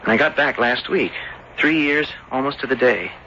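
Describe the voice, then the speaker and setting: An actor playing an earnest, lovesick young seafarer back home, speaking haltingly in radio drama style. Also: male voice, medium pitch, pushed and bright, natural voice. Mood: earnest, reflective. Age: young adult. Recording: dull, noisy old radio broadcast.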